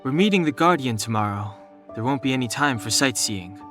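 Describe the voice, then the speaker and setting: A man voicing a calm, guarded young traveler in a video game, measured, sober and understated. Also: mid-range voice, over music, natural voice. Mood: pensive. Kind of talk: restrained video game voice acting.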